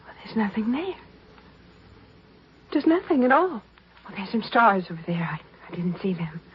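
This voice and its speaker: Casting: woman for frightened, gentle young stowaway, 1950s radio style, tremulous and bravely resigned, dulled noisy old sound. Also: mid-range voice, very animated, moderate pace, highs cut off, lightly acted. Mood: wistful. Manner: tremulous, hesitant, plaintive. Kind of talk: 1950s radio drama acting.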